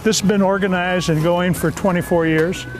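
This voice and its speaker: A man's voice: sits high, fairly even intonation, moderate pace. A man in his seventies, a retired volunteer, speaking in a documentary interview. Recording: music behind the voice. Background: guitar music.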